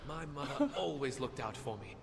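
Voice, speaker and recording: An actor playing a vain, cocky young Greek warrior hero in a video game, boastful and taunting. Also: male voice, mid-range voice, sing-song, moderate pace, creaky, a crowd in the background. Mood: wry, vain. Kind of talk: video game character voice lines.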